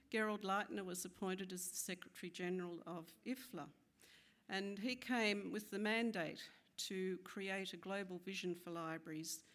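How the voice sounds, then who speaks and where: A woman's voice: on the low side, fairly bright tone. Woman introducing keynote speakers. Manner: informal, some fillers. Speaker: conference host introducing speakers.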